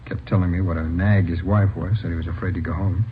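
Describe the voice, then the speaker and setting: An American actor playing an anxious, respectful young policeman in 1950s radio drama style, halting and pleading. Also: sits low, steady tone, lightly acted. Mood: earnest, explaining. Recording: old radio, narrow band, noisy.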